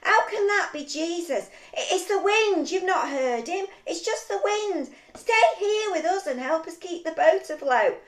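Female storyteller as worried male friend: insistent scolding, animated and high, Bible-story delivery, roomy sound. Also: measured pace, few pauses. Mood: scolding, anxious. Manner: insistent, pleading. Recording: roomy echo.

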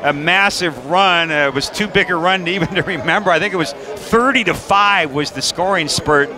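A middle-aged man hosts a post-game sports interview in a conversational way. His voice is somewhat high for a man. He talks through a headset at courtside, and crowd noise is clearly heard around him. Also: normal speed. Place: courtside in an indoor arena. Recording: crowd noise in busy arena.

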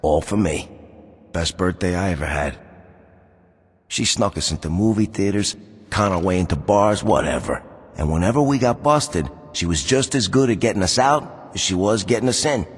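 A man's voice: sits low, expressive, moderate pace, slightly rough, in a large hall. A man voicing a brooding, tough mob boss with a New York accent in a video game, terse and streetwise. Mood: wistful, reflective. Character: brooding tough male mob boss, haunted by lost love. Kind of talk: video game dialogue and monologue.

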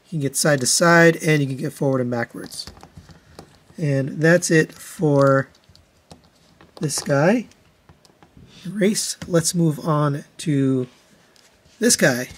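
Higher-pitched man casually reviewing toys. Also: takes it slowly, pauses often. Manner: methodical, matter-of-fact, hands-on demonstrating. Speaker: toy reviewer. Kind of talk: casual product review commentary.

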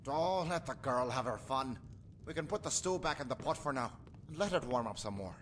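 A man's voice: mid-range voice, lively intonation, a little fast, a bit bright, slight background noise, close to an everyday voice. An actor playing a superstitious rustic husband and father in a video game, folksy, breezy and matter-of-fact about grim things. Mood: breezily macabre. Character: jovial superstitious rustic husband and father, callous, cheerfully macabre. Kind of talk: video game character acting.

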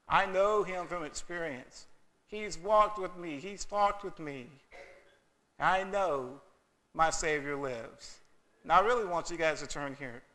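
A man's voice: high voice, sharp tone. A man preaching a sermon in a church, earnest and emphatic, often acting out quoted voices. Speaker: pastor. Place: church sanctuary.

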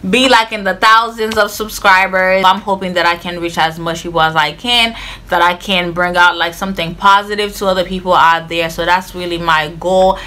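A woman's voice: lowish voice, steady tone, moderate pace, sharp tone. A young woman in her twenties with a Haitian accent, chatting earnestly to camera in her bedroom with lots of "like" fillers. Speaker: vlogger. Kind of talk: casual chat to camera. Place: bedroom, indoors.

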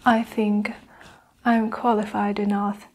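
A young woman playing a guarded, defensive nurse under questioning in an improvised ASMR roleplay, measured and halting as she justifies herself. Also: mid-range voice, flat delivery, darkish tone. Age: young adult. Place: small indoor room with curtain backdrop. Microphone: clip-on lapel mic.

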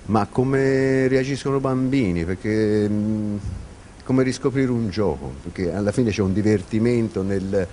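A man's voice: lowish voice, unhurried, mellow. A man in his forties, a sound-effects performer, answers informally in an interview on a handheld microphone. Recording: faint room noise.

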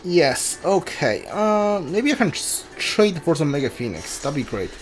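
A gamer giving casual, hesitant commentary on a playthrough, often muttering to themselves in a halting way. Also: mid-range voice, sing-song, background noise. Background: video game music.

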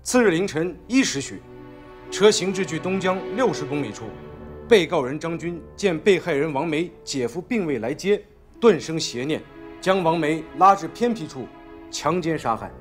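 An actor in his thirties playing a composed public prosecutor in a film, speaking in a measured, formal, articulate manner. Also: fairly high pitch, animated, faint music behind, natural voice. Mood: formal, solemn. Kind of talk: formal courtroom reading and argument in a film.

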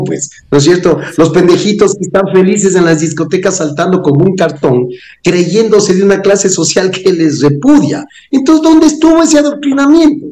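Guy mocking politicians, lively up-and-down voice, echoey webcam room. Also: sits high. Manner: sarcastic, crude, insulting. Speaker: political analyst guest. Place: home office, indoors. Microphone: webcam on a video call.

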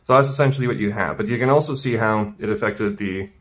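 A man with a fairly low voice walks through a hands-on task at a work table. He speaks informally, thinking aloud as he goes, like an instructor showing a craft. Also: fast talker, a little hiss or hum. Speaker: tailoring instructor. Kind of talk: casual hands-on demonstration.

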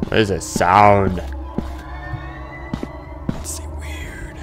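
A man's voice: sits low, level tone, drawn-out words. A man giving casual let's-play commentary, muttering asides and bursting into sudden exclamations, as host of a gaming video. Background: game music and sound effects.

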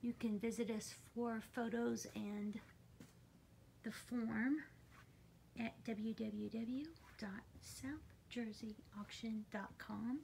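A woman's voice: fairly high pitch, level tone, slow pace, vocal fry, darkish tone, slight background noise. A woman presenting for an auction house, narrating an informal, unscripted walk-through video on a handheld phone inside a large warehouse. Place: large furniture warehouse, indoors. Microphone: handheld phone camera, speaker behind it.

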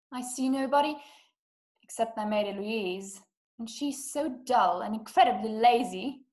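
A woman's voice: on the high side, close to an everyday voice. A young woman playing an anxious, haughty, bedridden wife in a drama, agitated and imperious. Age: young adult. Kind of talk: radio-play acting over video call. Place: small room indoors. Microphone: webcam video call.

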